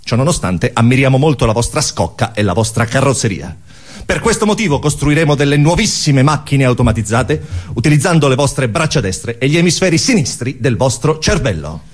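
An actor playing a pompous alien orator who coldly addresses inferior humans in formal, deliberate declamation, in an absurdist theatre speech. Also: male voice, mid-range voice, sing-song. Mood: haughty.

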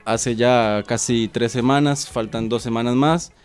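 A man's voice: medium pitch, steady tone, unhurried, clear, resonant tone, soft background music. A man from Costa Rica chatting casually as a radio interview guest, colloquial, modest and a little hesitant. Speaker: interview guest, web series maker.

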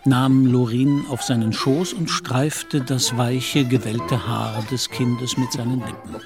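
The narrator of a literary radio play. His mid-range voice is composed and measured. Music is clearly heard behind the narration. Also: medium pitch, steady tone. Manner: measured storytelling.

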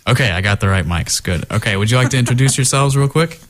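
A man's voice: medium pitch, animated, fast talker. A man hosting a casual radio show from a studio, laid-back and chuckling often as he talks into a studio microphone.

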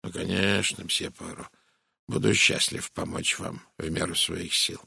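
A man voicing an amiable, cautious middle-aged American in an audiobook, speaking in a hushed, confiding, hesitant way. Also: low voice, level tone, measured pace, creaky, slightly rough, dry recording, studio quality, full character voice. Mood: obliging, hesitant. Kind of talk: audiobook character voice.

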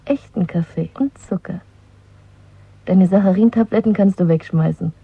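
A woman playing a bold, playful, worldly young lover in a German radio play, with a husky voice. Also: mid-range voice, moderate pace, no echo. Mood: playful, proud. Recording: thin phone-like old radio recording.

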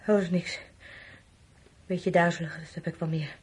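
An actress playing a frail, dazed, ailing woman with a husky, breathy voice in a radio drama. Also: lowish voice, fairly even intonation, normal speed. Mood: weak, uneasy.

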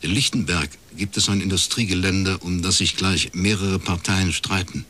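An actor playing a shrewd, dry-witted private detective in a radio crime drama, laconic and deadpan. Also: male voice, sits low, moderate pace. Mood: dry, knowing. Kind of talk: naturalistic German radio crime drama acting.

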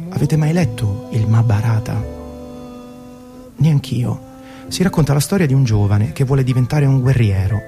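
A man reading a scripted narration as a radio presenter, with dramatic, literary storyteller phrasing. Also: mid-range voice. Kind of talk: scripted storytelling narration, guided music programme. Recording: narration over prominent background music. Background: music.

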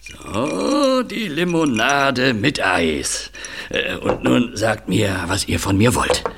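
A man playing an affable, hospitable older man in an audio drama, speaking deliberately with hesitations. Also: medium pitch, big pitch swings, creaky, a bit bright, a little hiss or hum, full character voice. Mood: obliging, thoughtful. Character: affable older man, scarred retired film manager breeding budgies. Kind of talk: audio drama dialogue acting.

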